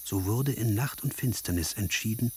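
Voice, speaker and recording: A man narrating a radio drama in a solemn, measured, scripted storytelling style. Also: on the low side, a little mellow, slight background noise.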